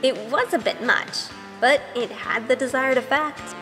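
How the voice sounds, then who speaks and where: A woman's voice: high voice, a little slow, long reverb tail, over music, poor audio. An actress playing a sheltered, good-hearted young woman turned witch, narrating her own audio drama story with wonder. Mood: wonder-struck. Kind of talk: first-person audio drama narration with in-scene dialogue.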